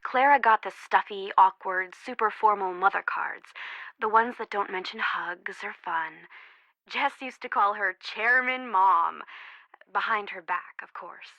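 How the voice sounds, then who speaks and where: Bright, closely recorded worried young woman. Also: medium pitch, no echo, poor audio. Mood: candid, dry. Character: worried, guarded young woman, friend of missing girl. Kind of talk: video game voice acting.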